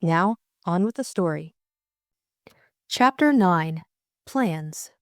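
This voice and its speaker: A woman's voice: fairly low pitch, lively intonation, slow, no echo, clean studio sound. A woman reading scripted narration as an audiobook narrator and host.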